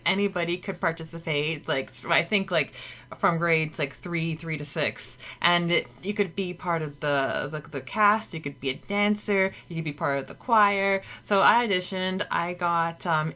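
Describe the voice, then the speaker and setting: A woman's voice: on the low side, normal speed, bright, forceful tone. A woman hosting a podcast, chatting with fillers and then reading a summary steadily. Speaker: podcast co-host. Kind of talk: casual chat and read chapter summary.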